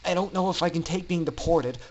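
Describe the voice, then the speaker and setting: A man's voice: fairly high pitch, a little fast, darkish tone, pitched above the performer's own voice, close to an everyday voice. A man voicing an anxious, skinny young male pony in a story reading, worried and hesitant with a sarcastic edge.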